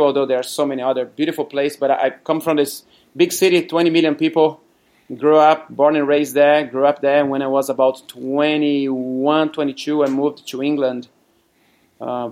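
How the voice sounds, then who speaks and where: A man from Brazil with a Brazilian Portuguese accent, telling his story as a guest in a casual interview, chatty and quick to laugh. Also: medium pitch, flat delivery, darkish tone. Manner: chatty, laughs often, many fillers. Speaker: guest, cafe owner.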